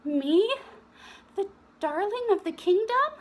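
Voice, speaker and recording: A woman's voice: very high voice, animated, slow pace, voice pushed up, full character voice. A woman voicing an eager, brave young princess girl in a children's storybook, in an exclaiming manner. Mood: delighted. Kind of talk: children's storybook read-aloud.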